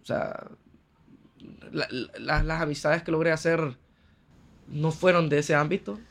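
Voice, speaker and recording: A man's voice: fairly high pitch, level tone, measured pace, vocal fry, a bit bright. A man with a Honduran accent talking casually as a guest, retelling personal stories with quoted dialogue and slangy asides. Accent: Honduran Spanish. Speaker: podcast guest, trained architect. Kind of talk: casual conversational storytelling.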